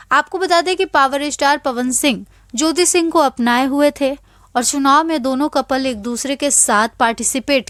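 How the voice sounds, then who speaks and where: A woman's voice: high voice, dry recording, clean studio sound. A woman reading scripted celebrity news as an anchor, in an emphatic, dramatic storytelling way.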